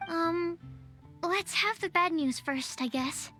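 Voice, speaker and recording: A woman's voice: extremely high pitch, slow, pushed and bright, faint music behind, exaggerated voice. A woman voicing a shy, earnest young female alchemist in a video game, hesitant and thinking aloud. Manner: hesitant, musing, overthinking. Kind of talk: game voice acting.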